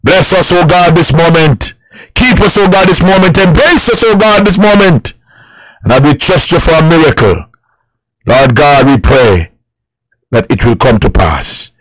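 A man's voice: fairly high pitch, animated, unhurried, no room sound, low-quality recording. A man leading fervent Pentecostal prayer in short, repeated, declaimed petitions.

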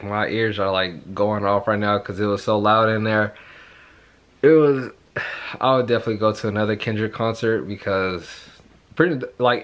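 Hoarse young man vlogging excitedly. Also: on the low side. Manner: rambling, excited, repeats himself. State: hoarse from yelling at a concert. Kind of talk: casual vlog talk to camera. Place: bedroom at home, then walking on a suburban street outdoors. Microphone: phone held at arm's length.

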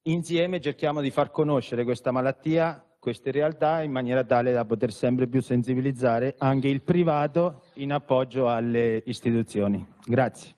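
A man, an entrepreneur, giving earnest remarks at a press conference through a handheld microphone, pausing often to hesitate. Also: medium pitch, warm tone. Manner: earnest, with frequent hesitation sounds. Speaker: entrepreneur and sponsor. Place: large conference hall with an audience.

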